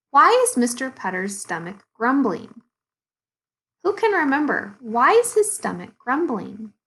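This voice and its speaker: Slow, lively woman reading to children. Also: a little high, low-quality recording. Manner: expressive, deliberate storytelling with dramatic pauses. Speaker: second-grade teacher. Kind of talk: storybook read-aloud for young children.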